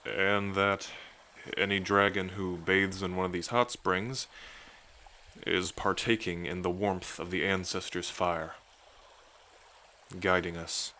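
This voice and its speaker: Low-voiced, doting dragon king, played by a man. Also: sharp tone, slight background noise. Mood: reverent, storytelling. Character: young romantic dragon king, doting and playfully proud. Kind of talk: intimate ASMR roleplay addressed to the listener.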